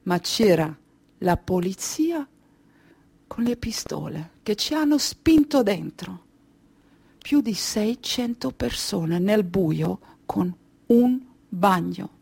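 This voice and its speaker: A woman performing a theatrical monologue as a weary, haunted Kurdish refugee recounting her flight, speaking deliberately and emotively in short phrases with pauses. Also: mid-range voice, lively intonation, creaky, a little mellow, husky, exaggerated voice. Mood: weary remembering. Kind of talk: slow dramatic theatrical monologue.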